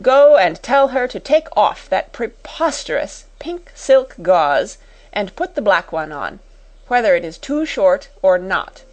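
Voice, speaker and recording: A woman's voice: mid-range voice, big pitch swings, a little slow, few pauses, rough recording. A woman voicing a furious, cold middle-aged schoolmistress in a storybook, with a fierce, bitterly ironic, commanding manner.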